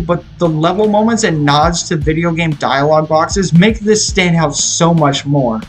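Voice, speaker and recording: A man delivering a scripted film review as an earnest, opinionated fan, speaking as a video essayist. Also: a little high. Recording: music playing behind the voice. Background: guitar rock music.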